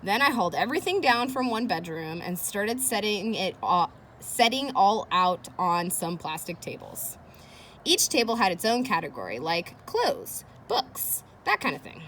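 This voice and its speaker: A woman reads a children's chapter book aloud with lively, swinging intonation. She addresses young listeners directly in a chatty, engaging manner. Her voice sits at a middling pitch, with faint noise behind it. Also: unhurried. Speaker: read-aloud host.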